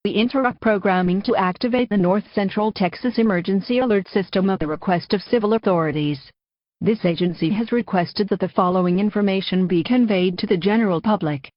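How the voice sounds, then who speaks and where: A woman's voice: medium pitch, fairly quick, no room sound, lo-fi sound. A woman reads a formal scripted broadcast announcement as an emergency alert announcer, procedural and impersonal.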